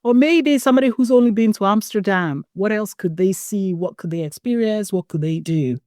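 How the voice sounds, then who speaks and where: A podcast host with a frank, opinionated manner, leading a chatty interview. The voice sits at a middling pitch and is recorded dry and close. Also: normal speed, darkish tone, no echo.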